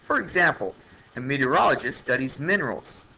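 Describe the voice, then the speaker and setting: A man's voice: mid-range voice, very animated, fairly quick, gravelly, sharp tone, slightly rough, poor audio. A man reading an educational science text aloud like a teacher, in a plain manner with occasional stumbles. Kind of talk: educational read-aloud narration.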